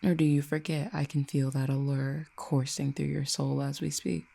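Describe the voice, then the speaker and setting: A performer voicing an ancient, possessive cupid in an audio roleplay, speaking in a haughty, deliberate, teasingly menacing way. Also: medium pitch, flat delivery, vocal fry, dark tone. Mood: dominant, teasing. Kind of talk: scripted audio roleplay, acted monologue.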